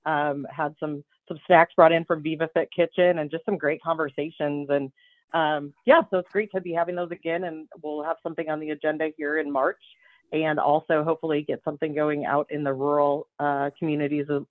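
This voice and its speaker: A woman's voice: low voice, dry recording. A woman speaking casually in an interview as a business development guest. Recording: thin phone-like band, very dry.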